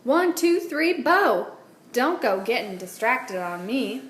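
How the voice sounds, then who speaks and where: A woman's voice: on the high side, sing-song, slow, voice pushed up. A woman voicing a watchful, fretting mother armadillo from a storybook, calling out and scolding her boys. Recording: roomy echo, clear background noise.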